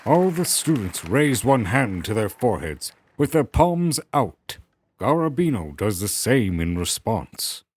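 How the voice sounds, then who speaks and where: A man's voice: fairly low pitch, big pitch swings, a little slow, breathy edge, dry recording. A man narrating a scripted fantasy story in a dramatic storytelling style.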